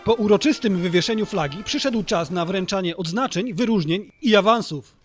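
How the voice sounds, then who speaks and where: A man's voice: sits high, moderate pace. A man reading scripted narration for a local news report. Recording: voice-over with music behind. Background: music.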